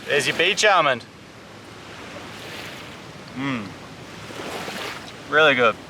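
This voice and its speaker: A man's voice: mid-range voice, lively intonation, drawn-out words, bright, forceful tone, a little hiss or hum. A young man from Samoa, an enthusiastic survival vlog host explaining foraged food outdoors on a tropical island beach. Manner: upbeat, enthusiastic tour-guide talk.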